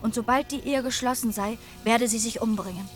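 An actress playing a passionate, eloquent wronged young maiden in a German radio drama. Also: female voice, on the high side, fairly quick, faint music behind, close to an everyday voice. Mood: indignant. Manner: eloquent, formal storytelling.

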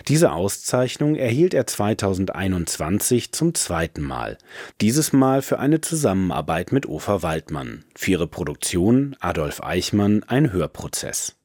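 A man works as a radio presenter, delivering scripted narration. His voice sits very low but moves with lively, varied intonation. The sound is dry, with hardly any room in it. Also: unhurried, no echo.

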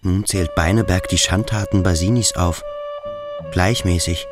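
A man giving scripted radio play narration in terse fragments with pauses between them. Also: low voice, fairly even intonation, over loud music. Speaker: second narrator.

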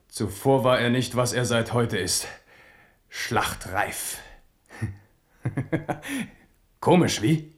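A mocking man comments ominously in a radio drama. His voice is medium-pitched and very slow, with sardonic deliberation. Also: expressive. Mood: sardonic menace. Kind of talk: German radio drama acting.

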